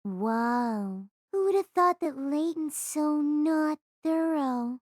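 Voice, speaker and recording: A woman's voice: high voice, animated, slow, no echo, clean studio sound, pitched above the performer's own voice. A woman voicing a chatty, carefree teenage schoolgirl with a teasing, breezy manner.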